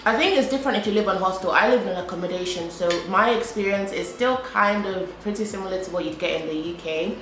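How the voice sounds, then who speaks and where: A woman's voice: mid-range voice, fast talker, hardly any pauses, a bit bright. Chatty young British woman vlogging. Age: young adult. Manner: chatty, repeats herself, frequent "like". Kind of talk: casual chat to camera while eating. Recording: echoey room with faint background hum.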